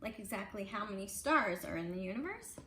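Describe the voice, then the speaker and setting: A woman's voice: mid-range voice, sing-song, fairly quick, pushed and bright. A woman reading a picture book aloud to children in a casual, conversational way, adding little explanations as she goes. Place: indoors at home. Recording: roomy, echoey home recording with faint noise.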